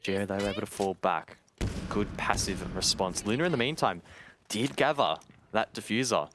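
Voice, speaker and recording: A man's voice: lowish voice, moderate pace, noisy background. A man giving colour commentary on an esports match, analytical and wry, teasing his co-caster.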